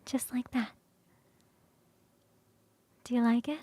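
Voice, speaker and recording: Woman acting as a high-voiced, cuddly succubus roommate. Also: steady tone, breathy edge, dry recording. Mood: soft, intimate. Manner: coaxing, sighing, drawn-out savouring, shifting into soft whispers. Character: playful, hungry, cuddly young succubus roommate, affectionate and teasing. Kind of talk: ASMR roleplay.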